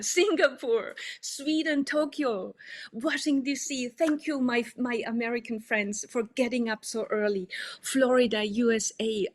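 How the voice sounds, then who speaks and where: A woman from Austria, hosting an online coaching event in an upbeat, welcoming way with some fillers and restarts, over a video call microphone. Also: a little high. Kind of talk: welcoming presentation to an online audience.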